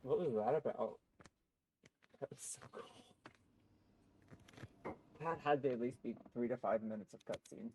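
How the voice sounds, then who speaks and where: A game streamer giving casual, excitable, slangy reaction commentary during a playthrough. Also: medium pitch, a little slow, long pauses, a little hiss or hum, rough recording. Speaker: live streamer playing a game. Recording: game music behind the voice.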